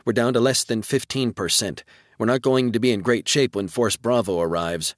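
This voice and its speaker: A man's voice: medium pitch, dry recording, studio quality, voice pushed up, close to an everyday voice. Businesslike female weapons officer, voiced by a man. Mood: tense. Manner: brisk tactical reporting.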